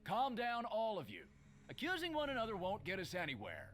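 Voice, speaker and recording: An actor playing a calm, authoritative police officer who speaks in a measured, steady way. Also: male voice, high voice, slight background noise, full character voice. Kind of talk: game voice acting.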